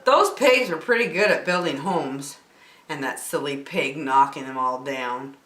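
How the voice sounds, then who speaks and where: A woman in her sixties reading a children's picture book aloud with emphatic, expressive storytelling. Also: low-pitched, a little slow. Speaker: story time reader. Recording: roomy home recording.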